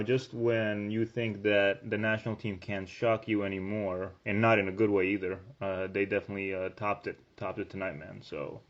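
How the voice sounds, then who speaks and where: A man on a podcast panel chatting casually after a game, dejected and halting. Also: on the low side. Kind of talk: casual post-game talk. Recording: dull, lo-fi online call audio.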